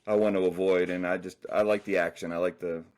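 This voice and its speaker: A man's voice: deep voice, little pitch movement, quick pace, a little mellow. A man talking as a guest author in a casual interview, rambling loosely with many fillers.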